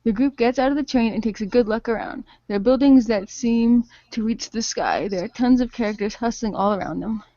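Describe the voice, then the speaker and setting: A woman narrating an improvised radio play as game master, with a halting delivery. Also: fairly high pitch, dark tone, dry recording. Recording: dull lo-fi voice recording.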